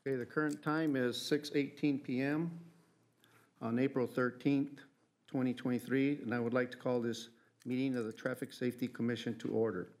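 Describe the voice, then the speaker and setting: A man's voice: medium pitch, steady tone, a little mellow. Man chairing a public meeting. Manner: measured, reads prepared remarks. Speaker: commission chair. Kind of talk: formal meeting procedure.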